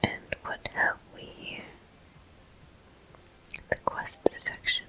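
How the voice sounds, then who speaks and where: A woman hosting a gameplay video in ASMR style, murmuring softly and gently as she comments. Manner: soft, gentle, unhurried murmuring. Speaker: gameplay host.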